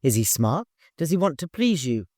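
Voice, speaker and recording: A man's voice: fairly high pitch, big pitch swings, normal speed, warm tone, no room sound, studio quality. A man voicing a chatty, proud camel keeper in an audiobook, deferential, long-winded and eager to please.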